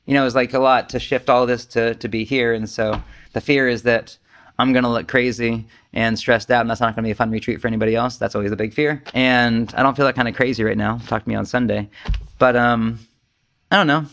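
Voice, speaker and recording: A man giving an informal, rambling Zen talk as a retreat teacher, conversational, animated and self-deprecating. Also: mid-range voice, brisk.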